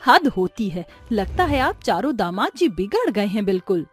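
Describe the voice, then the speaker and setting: Middle-aged mother-in-law, acted by a woman, indignant, scolding, reproachful, cartoon story, medium pitch, music behind. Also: big pitch swings, moderate pace, slightly rough. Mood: scandalized. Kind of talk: animated storybook dialogue.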